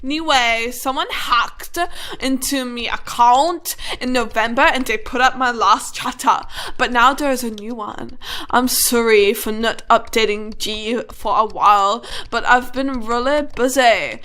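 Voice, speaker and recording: Woman as a bright, shrill bratty teen author. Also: a little slow. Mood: sulky explaining. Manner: petulant, reads internet slang aloud. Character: bratty, defensive teenage goth fanfiction author. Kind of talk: comic reading of author's note.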